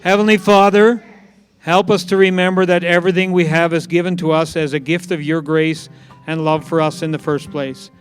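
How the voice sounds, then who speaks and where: A man's voice: fairly high pitch, steady tone, moderate pace, clean tone, faint music behind. A man reading a prayer aloud as a member of a congregation.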